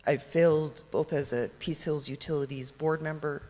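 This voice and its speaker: A man speaking as a city councillor in a council debate, hoarse from losing his voice, earnest and halting as he restarts phrases. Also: on the high side, steady tone, a little mellow. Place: council chamber. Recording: reverberant council chamber.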